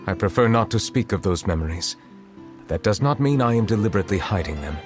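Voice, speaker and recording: A low, formal male voice over game music, an actor playing an ancient dragon who serves as a solemn chief judge. His speech is measured and grave. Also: expressive, lightly acted. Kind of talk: video game voice acting. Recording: game audio with music behind.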